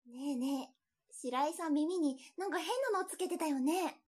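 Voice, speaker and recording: A woman's voice: high voice, normal speed, darkish tone, lightly acted. An actress playing a nosy, tactless schoolgirl classmate in a Japanese audio drama, talking in a casual, needling way. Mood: nosy, dismissive.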